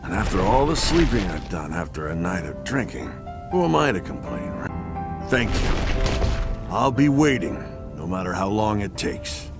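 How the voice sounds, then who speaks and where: An actor playing a devoted, remorseful monster village leader in a video game, speaking earnestly and emotionally. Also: male voice, sits low, very animated, a little slow, over music, full character voice. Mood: hopeful, resolute. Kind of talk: dramatic video game cutscene acting.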